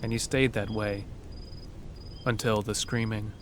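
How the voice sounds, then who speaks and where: A man's voice: lowish voice, steady tone, a little slow, very noisy. A man reading scripted narration for a horror audio drama, as a storyteller. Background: music under the narration.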